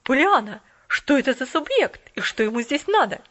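A woman's voice: high-pitched, sing-song, moderate pace, fairly bright tone, full character voice. A woman reading aloud and voicing Mrs. Carew, a strict, stern older lady who scolds sharply. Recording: dull lo-fi recording.

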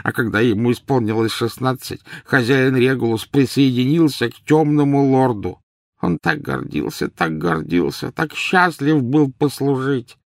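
A man voicing an old male house elf servant from a storybook, servile and anguished, with a croaking, wheezy, halting delivery. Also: medium pitch, lively intonation, no echo, clean studio sound, voice pushed up. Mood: mournful reverence. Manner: wailing, halting, drawn-out slow speech, speaks of self in third person.

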